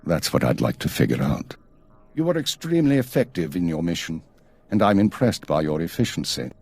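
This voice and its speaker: An actor playing a stern, weary intelligence chief in a video game, formal, clipped and measured. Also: male voice, low voice, big pitch swings, creaky, darkish tone, husky, no room sound, exaggerated voice. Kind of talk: video game cutscene acting.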